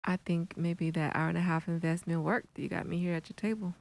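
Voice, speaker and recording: A woman guesting on a podcast in casual chat, jovial and quick to laugh. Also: on the low side, flat delivery, gravelly, darkish tone.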